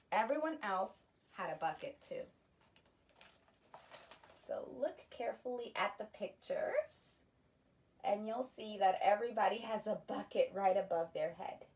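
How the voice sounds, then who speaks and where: A woman reading a children's picture book aloud, pausing to explain the story to young listeners. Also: medium pitch, distant mic. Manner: slow, gentle storytime delivery with explanatory asides. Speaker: children's read-aloud host.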